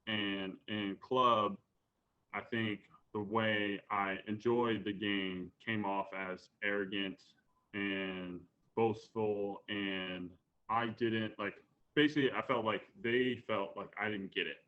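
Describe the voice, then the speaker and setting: A man speaking in a documentary interview, hesitant and filled with pauses and fillers. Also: on the low side, fairly even intonation. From Virginia, USA. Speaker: professional ultimate frisbee player. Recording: dull lo-fi audio.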